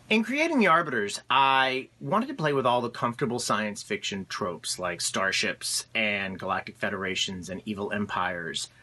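A man narrates a scripted promo as a series creator. His intonation moves widely around a medium pitch, recorded very dry. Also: pushed and bright, dry recording.

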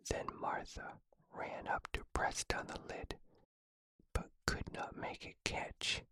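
A reader narrating a story entirely in a deliberate, unhurried whisper. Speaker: audiobook reader.

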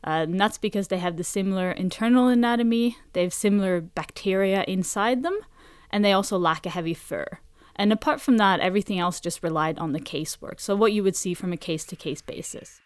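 A woman from Norway, a researcher giving measured, factual explanations as an interview guest. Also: medium pitch, smooth, no echo, studio quality.

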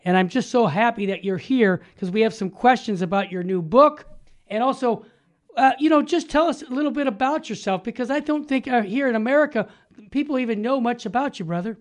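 A man's voice: extremely high pitch, fairly quick. An older man co-hosting a radio talk show, upbeat and emphatic, delivering punchy slogans and promos.

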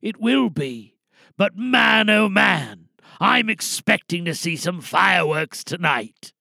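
A man playing a weary, resolute older insurance whistleblower, giving a dramatic speech to a crowd with deliberate pauses. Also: high-pitched, expressive, creaky, sharp tone, no room sound, clean studio sound, heavily acted. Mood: defiant, rousing.

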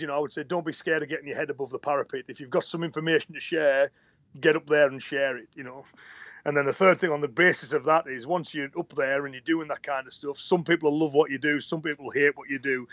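A man's voice: a little high, steady tone, fast, a bit bright, dry recording. A man talks as an interview guest, earnest and encouraging, often repeating words as he thinks. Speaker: interview guest, martial arts teacher. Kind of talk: casual conversation. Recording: dry, muffled audio.

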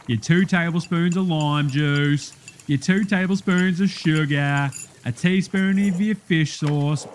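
A man's voice: sits high, slight background noise, natural voice. A man voicing a brash, matey Australian bloke hosting a spoof cooking show, giving blokey, slangy, casual instructions. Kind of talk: parody TV cooking demonstration.

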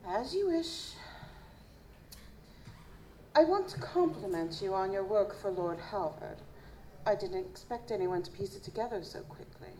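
Woman acting as poised female vampire, very expressive pitch, mellow tone, formal, deliberate, live audio drama. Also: natural voice, poor audio. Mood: polite, cool. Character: elegant, composed female vampire who hunts monsters. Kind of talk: noir audio drama dialogue. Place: live stage performance at a convention. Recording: live stage, faint background noise.

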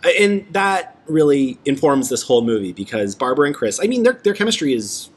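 A man's voice: on the high side, big pitch swings, fairly quick, slightly rough. A man hosting a casual podcast discussion, chatty and enthusiastic, often restarting his sentences. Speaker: podcast host.

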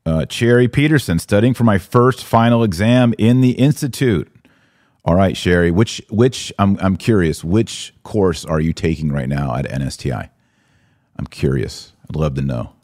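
A man's voice: low voice, moderate pace, darkish tone, dry recording, clean studio sound. An American man hosting a live podcast, commenting and answering viewers in an emphatic way, repeating phrases for stress. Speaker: podcast host.

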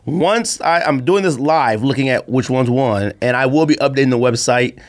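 A man with an everyday mid-pitched voice talks like a podcast host among friends. He teases and states his opinions freely, keeping the delivery casual and conversational. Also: normal speed. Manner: teasing, opinionated. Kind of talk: casual sneaker podcast banter.

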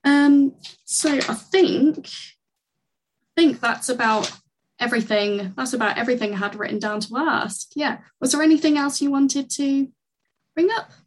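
A woman's voice: high voice, measured pace. Chatty woman podcast interviewer. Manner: friendly, chatty, laughs easily. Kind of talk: casual podcast chat.

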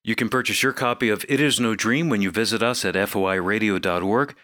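A man's voice: fairly low pitch, fast, fairly bright tone, no echo, studio quality. A man reading scripted radio announcements. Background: faint music.

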